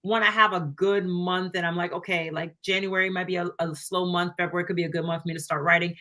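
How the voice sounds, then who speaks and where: A woman author being interviewed over a video call, talking in a chatty, animated way and acting out little quotes of what she told herself and others. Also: on the low side, fast talker. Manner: chatty, animated, many fillers and acted-out quotes. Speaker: author guest. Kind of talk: casual interview chat.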